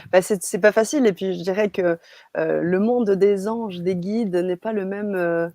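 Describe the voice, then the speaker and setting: A woman's voice: medium pitch, normal speed, dark tone, no room sound, studio quality. A woman hosting an interview, prompting her guest in an encouraging, friendly way. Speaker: interview host. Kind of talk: casual interview.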